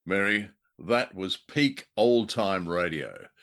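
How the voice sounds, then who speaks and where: A middle-aged or older man co-hosting a show, casually discussing old-time radio in an animated, halting way. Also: low-pitched. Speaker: podcast co-host.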